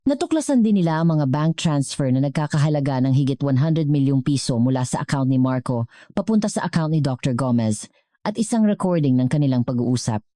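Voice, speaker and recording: Very low-voiced Filipino woman narrating. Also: expressive, brisk, mellow, dry recording, clean studio sound. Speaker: storyteller narrator. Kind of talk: scripted dramatic story narration.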